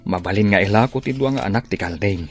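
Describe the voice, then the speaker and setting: A man playing a hopeful, affectionate middle-aged farmer father in an Ilocano radio drama, with a husky voice and an Ilocano Filipino accent. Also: on the low side, quick pace, soft background music, close to an everyday voice.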